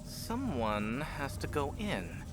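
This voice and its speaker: An actor playing a brainy, self-important but anxious scientist in a video game, with a nerdy, flustered manner. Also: male voice, mid-range voice, huge pitch swings, slow pace. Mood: nervous, boastful. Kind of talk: video game cutscene acting. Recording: music behind the voice.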